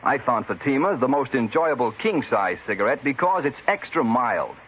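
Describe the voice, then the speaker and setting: A man reads a scripted 1950s radio testimonial with animated intonation at a medium pitch. The vintage recording sounds muffled and faintly noisy. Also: normal speed. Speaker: advertising testimonial speaker.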